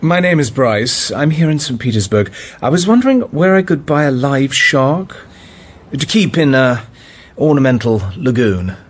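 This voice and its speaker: A man's voice: mid-range voice, animated, moderate pace, natural voice. A man playing a cool, dry British secret agent in a radio drama, with a British accent and clipped delivery. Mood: cool, polite.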